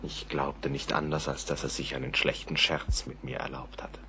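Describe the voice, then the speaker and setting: An actor playing a troubled, dreamy gentleman in a literary radio play, brooding and at times hushed, over music. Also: very deep voice, flat delivery, normal speed, slight background noise, full character voice. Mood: uneasy, confiding. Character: troubled, dreamy gentleman recounting an uncanny night.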